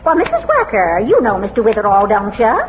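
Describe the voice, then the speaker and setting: A woman's voice: medium pitch, huge pitch swings, brisk. A woman acting in an old radio drama as a chatty, homespun small-town gossip. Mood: chatty, gossipy. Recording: muffled, very noisy vintage radio recording.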